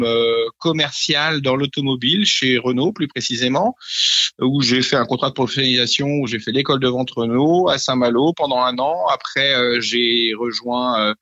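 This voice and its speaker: A man in his forties from Brittany talks through his career in a halting way, stacking pauses as he searches for words. His voice is bright and forceful. The recording is dull and lo-fi, dry with no room sound. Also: mid-range voice, dry recording. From France, Brittany. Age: 40s. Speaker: real estate franchise owner, guest. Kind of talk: podcast interview answers.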